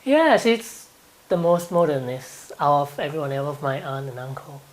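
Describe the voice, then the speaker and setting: A man's voice: on the high side, animated, moderate pace, poor audio. A man in his twenties from Thailand, speaking with a Thai accent and answering interview questions hesitantly, with frequent ums and light laughs.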